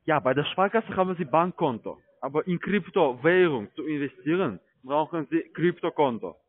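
Higher-pitched foreign-accented salesman on phone. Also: animated, normal speed. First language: not German. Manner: insistent, questioning. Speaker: telesales investment agent. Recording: narrow, dry phone line.

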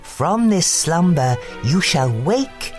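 A woman acts out a protective good fairy in a storybook. Her delivery is singsong and deliberate, with very lively intonation. Music plays underneath. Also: lowish voice. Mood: tender spellcasting. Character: gentle good fairy godmothers, bright and protective. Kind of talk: storybook character reading.

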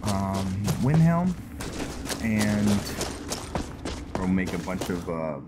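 Male gameplay commentator: deep register, unhurried and halting, musing aloud to viewers. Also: very animated, very noisy. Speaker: video game streamer narrating his play. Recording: music behind the voice. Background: loud music.

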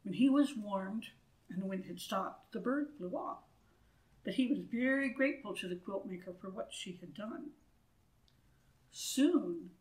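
A woman in her sixties reading a children's story aloud in an expressive, deliberate way, with asides to listeners, recorded indoors a few feet from the camera. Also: medium pitch, low-quality recording. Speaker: storybook reader. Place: wood-panelled church room, indoors. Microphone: camera a few feet away.

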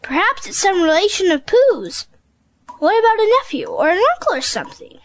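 A performer playing a calm, kindly young boy in a children's storybook audio drama, innocent and matter-of-fact. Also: very high voice, a little fast. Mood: calm certainty.

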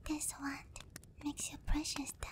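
A woman acts out a giant demon queen in an ASMR roleplay, whispering softly and breathily in a high register. She is teasing, doting and quietly in command. Her delivery is unhurried and very even in pitch, coaxing the listener up close to the mic. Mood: tender, coaxing. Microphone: close ASMR microphone.

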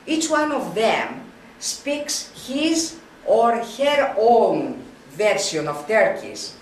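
Older woman reading a lecture slowly, roomy. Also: fairly high pitch, very animated, a little hiss or hum. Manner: slow, halting reading with frequent restarts. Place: wood-panelled room, indoors. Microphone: camera at a distance. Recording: roomy, distant camera sound.

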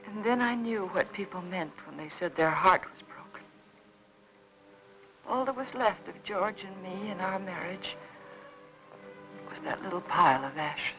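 An actress playing a frightened, fragile American housewife in her thirties, anxious and halting, in 1950s melodrama style. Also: medium pitch, a bit bright, lightly acted, poor audio. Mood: sorrowful. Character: frightened, guilt-ridden housewife, fragile and overwrought. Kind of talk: 1950s Hollywood melodrama acting.

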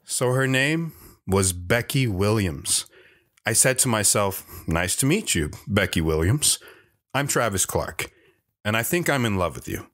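Man playing a wistful, low-voiced storyteller. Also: lively intonation, unhurried, fairly bright tone, no echo, clean studio sound. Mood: reminiscing. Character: wistful man recounting his youthful romance. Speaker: talk show guest telling his story. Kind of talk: dramatized storytelling.

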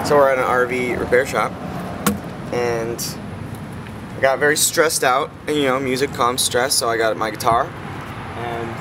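A man's voice: mid-range voice, very noisy. A man in his twenties with an American accent, a touring band musician chatting casually to camera in a laid-back, profane way. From Michigan, United States. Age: 20s. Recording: loud music behind the voice.

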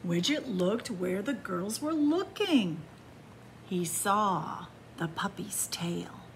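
A woman reading a picture book aloud to children in a library, with slow, expressive storytelling, hushed whispers and excited rises. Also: medium pitch, a little hiss or hum. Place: library in front of bookshelves. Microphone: camera facing the book.

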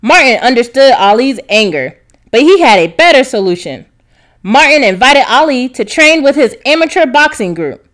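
A woman narrating a children's storybook, reading aloud expressively with clear enunciation. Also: high-pitched, sing-song, moderate pace, a bit bright. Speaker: narrator, read-aloud reader.

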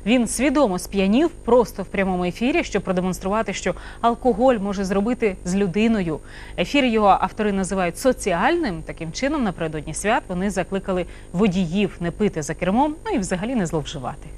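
Brisk woman news anchor in a studio. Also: medium pitch, animated, darkish tone, slight background noise. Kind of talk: scripted news presentation.

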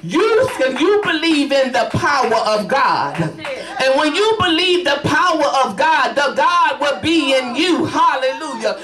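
A woman's voice: high voice, very animated, a little slow, hardly any pauses, a bit bright, faint music behind. Fervent woman preacher in a roomy church. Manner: fervent preaching punctuated by hallelujahs. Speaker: evangelist preacher. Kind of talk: sermon. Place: small church sanctuary, at a pulpit. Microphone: podium mic, filmed by a far camera. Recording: roomy church sound from a distance.

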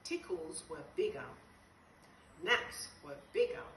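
A middle-aged woman reading a picture book to young children in an expressive, deliberate way with dramatic pauses. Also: fairly low pitch. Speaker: nursery school storyteller. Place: small nursery classroom, indoors. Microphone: camera a few metres away. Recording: roomy, distant, noisy phone-style video.

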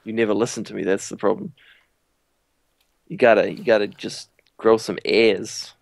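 A man's voice: fairly low pitch, steady tone, moderate pace, vocal fry. A young man with a New Zealand accent joking around while playing a game with a friend. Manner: laid-back, joking. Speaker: gamer, co-host. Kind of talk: casual let's play chat.

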